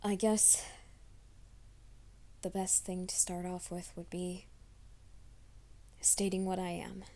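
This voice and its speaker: A woman voicing a gentle, nervous young male werewolf in an ASMR roleplay, speaking softly and often in whispers. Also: lowish voice, level tone, slow pace, long pauses. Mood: soft reassuring. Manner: soft, hushed, often whispering.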